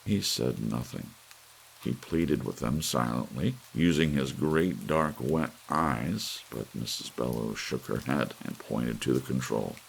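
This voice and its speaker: A man with a gravelly voice reading an audiobook story in a soft ASMR narration style. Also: rumbling low, animated, measured pace, low-quality recording. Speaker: audiobook reader. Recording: faint hiss under the voice. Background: soft rain.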